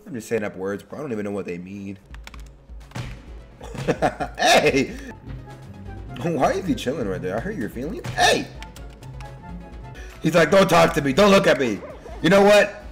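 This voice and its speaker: Hoarse, wildly animated young male gamer. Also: mid-range voice, a little slow, long pauses. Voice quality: hoarse, raspy. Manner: swings from casual muttering to yelling. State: voice strained and partly lost. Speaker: gaming video host. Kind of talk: excitable gameplay commentary with slangy chat. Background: music behind the voice.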